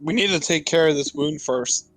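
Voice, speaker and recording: A man's voice: a little high, moderate pace, fairly bright tone, dry recording. A man playing a tabletop game, casually stating his character's actions and speaking its lines.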